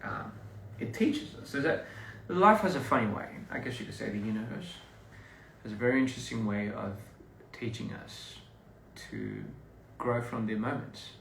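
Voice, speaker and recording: A man's voice: on the low side, sing-song, normal speed, vocal fry, fairly bright tone, slight background noise. A man from Australia, chatting casually on a livestream and rambling with many restarts. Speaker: livestream host. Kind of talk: casual livestream chat with viewers. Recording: echoey room, a bit far from the mic.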